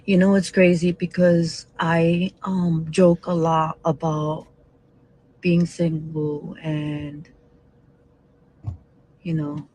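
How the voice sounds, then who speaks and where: A woman in her forties talking slowly and candidly into her phone from a parked car, sounding weary. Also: deep voice, flat delivery, mellow, poor audio. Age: 40s. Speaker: social media poster. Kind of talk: confessional phone video. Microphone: phone at arm's length.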